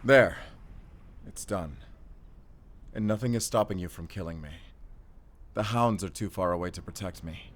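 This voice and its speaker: A man's voice: low-pitched, normal speed, pauses often, slight background noise, close to an everyday voice. A man playing a defiant, protective spy in an audio roleplay, speaking in a grim, resolute way. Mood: tense bargaining. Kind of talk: cinematic audio roleplay acting.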